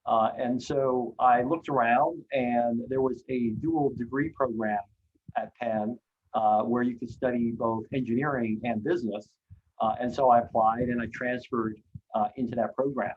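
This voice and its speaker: A man's voice: medium pitch, steady tone, normal speed, phone-quality sound, slight background noise. Man telling his career story, many fillers. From grew up in Hong Kong. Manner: frequent "uh" fillers, candid and self-deprecating. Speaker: invited guest speaker.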